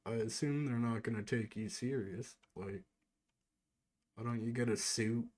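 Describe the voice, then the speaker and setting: A man's voice: mid-range voice, a little slow, a little mellow. A man streaming, commenting sarcastically over a video and mockingly repeating what he hears.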